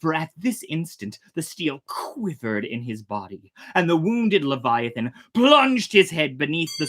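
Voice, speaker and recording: A storyteller reading a tale aloud dramatically, theatrically swelling and hushing. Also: medium pitch. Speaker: storyteller, host.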